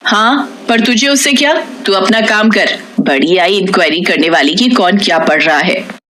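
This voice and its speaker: A woman's voice: medium pitch, moderate pace, fairly bright tone, close to an everyday voice. Woman putting on a bossy, haughty rich housewife. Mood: scornful. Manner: curt, bossy. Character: sly, haughty middle-aged rich mistress of the house.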